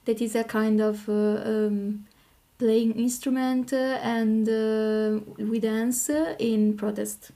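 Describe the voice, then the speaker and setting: A woman with an Italian accent speaks as an interview guest. Her voice is fairly high yet dark and relaxed. She goes slowly, with halting, hesitant phrasing. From Italy. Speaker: podcast guest. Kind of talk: informal interview talk.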